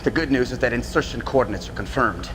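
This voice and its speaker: A gruff male recon trooper in a game cutscene, played by an actor, reporting in a brisk, wry, clipped way. His mid-pitched voice comes through clear background noise. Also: animated, close to an everyday voice. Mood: brisk, wary. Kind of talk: video game radio briefing.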